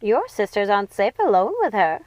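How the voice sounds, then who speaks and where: A woman's voice: mid-range voice, very animated, brisk, darkish tone, dry recording, close to an everyday voice. A woman voicing a bossy, impatient witch sister in a storybook, snappish and commanding. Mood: manipulative. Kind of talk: storybook character voice.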